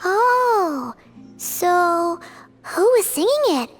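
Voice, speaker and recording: Tiny chatty game fairy girl, super high and squeaky, swoopy voice, music under it. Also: very animated, very slow, exaggerated voice. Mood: puzzled. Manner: squeaky, chatty, easily startled. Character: tiny excitable floating fairy companion girl. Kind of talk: video game dub acting.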